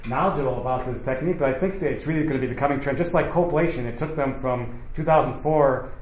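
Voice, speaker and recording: A man's voice: medium pitch, fast. A middle-aged man giving an informal, chatty talk to an audience as a surgeon, with frequent restarts. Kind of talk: informal conference lecture. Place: hotel banquet room with seated audience. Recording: echoey room, faint ambient noise.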